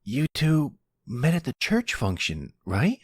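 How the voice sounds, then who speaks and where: Man putting on a higher-pitched, blunt young boy. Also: wildly swooping pitch, slow, husky, no room sound, clean studio sound, exaggerated voice. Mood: curious. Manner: stammering, eager, unfiltered. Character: excitable, blunt young boy, son in the family. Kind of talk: ASMR roleplay audio drama.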